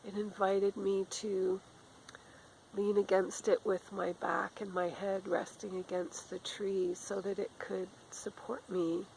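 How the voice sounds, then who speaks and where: A middle-aged woman with a cold, speaking in a raspy, often whispered voice, giving a gentle spiritual talk outdoors in the woods. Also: medium pitch, level tone, takes it slowly, slight background noise, low-quality recording. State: has a cold. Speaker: spiritual channeler. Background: faint outdoor ambience with a crow.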